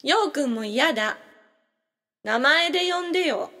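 A woman voicing a whiny, excitable ten-year-old boy who pleads childishly in a family audio drama. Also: sits high, a little slow, in a large hall, heavily acted. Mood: pleading.

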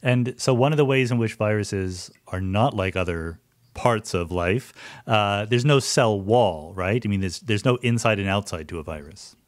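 Male interview host, middle-aged, lower voice, eager and inquisitive. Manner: curious, enthusiastic, quick asides. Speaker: podcast host. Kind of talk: conversational interview.

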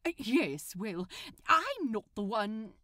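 A woman's voice: a little high, very animated, slow pace, pushed and bright, dry recording, studio quality, full character voice. A woman voicing a prim, wistful, lovesick male supervillain in a storybook reading, theatrical and earnest. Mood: indignant. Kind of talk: acted fan fiction audiobook reading.

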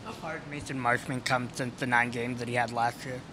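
A man's voice: mid-range voice, fairly even intonation, a little fast, background noise. A man, a reporter, asking plain, direct questions at a press conference.

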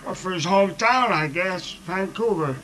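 A sharp, piercing voice for a belligerent, boozy flophouse manager. He sits high for a man and blusters muddled slang in old radio drama fashion, with some hiss on the recording. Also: expressive, moderate pace. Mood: sarcastic, cocky. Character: grubby, boozy, belligerent male rooming-house manager. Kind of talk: 1940s radio acting. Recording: noisy 1940s radio recording.

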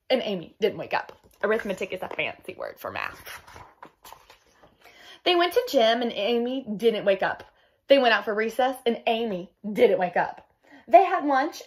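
A young woman with a fairly high, very lively voice reads a picture book aloud. She is expressive and emphatic, breaking into chatty asides for children. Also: breathy edge. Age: young adult. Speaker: read-aloud storyteller. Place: small indoor room at home.

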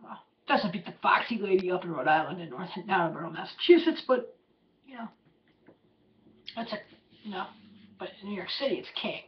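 A hoarse man hosting his podcast from a room at home, talking in a loose, chatty way full of fillers and plugs. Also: high-pitched, expressive, a little fast, pauses often, lo-fi sound, slight background noise. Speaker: podcast host. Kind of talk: casual rambling podcast monologue.

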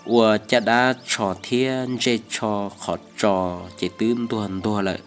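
A man giving a relaxed, conversational vlog narration. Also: lowish voice, slow, faint music behind. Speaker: vlogger.

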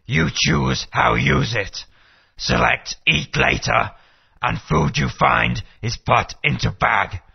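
A man's voice: mid-range voice, takes it slowly, creaky, breathy edge, heavily acted. A man voicing a dim, pleading dinosaur henchman in a video game, speaking in broken, simplified grammar. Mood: slow, explaining. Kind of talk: video game character acting. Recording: dull lo-fi game audio.

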